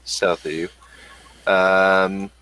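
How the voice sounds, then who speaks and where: A man running a tabletop game as game master, describing scenes slowly while he works them out. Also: low voice, little pitch movement, bright, forceful tone, poor audio.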